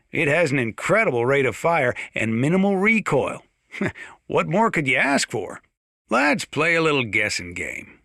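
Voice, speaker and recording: A bright-voiced folksy sheriff. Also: male voice, mid-range voice, no echo, studio quality. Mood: teasing, opinionated. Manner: folksy, chatty, enthusiastic. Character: folksy gun-loving sheriff, genial and opinionated radio talker. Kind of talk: scripted radio monologue, folksy instructional commentary.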